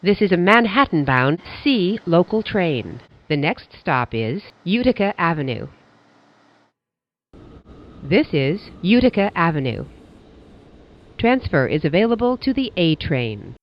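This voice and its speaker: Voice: mid-range voice, very animated, unhurried, long pauses, lo-fi sound. A subway train announcer reading scripted transit announcements in formal, clipped stock phrases.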